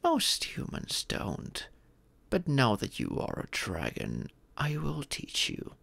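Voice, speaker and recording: A man voicing a wise, fatherly male dragon who patiently teaches in a measured, formal storytelling manner for a roleplay audio. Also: mid-range voice, huge pitch swings, creaky, a bit bright, no room sound, exaggerated voice. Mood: patient, instructive.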